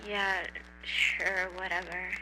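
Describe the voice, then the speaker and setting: A woman voicing an aimless, awkward, foul-mouthed young man in an indie animated horror, speaking in a hesitant, deadpan mumble. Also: lowish voice, extremely slow, exaggerated voice, rough recording. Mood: flat. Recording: faint hum and hiss.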